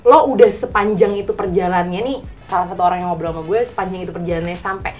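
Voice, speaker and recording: Female, Jakarta accent, rapid and emphatic slangy delivery, mid pitch, panel chat over faint music. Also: steady tone. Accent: Jakarta Indonesian. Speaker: talk show panelist. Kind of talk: casual group discussion.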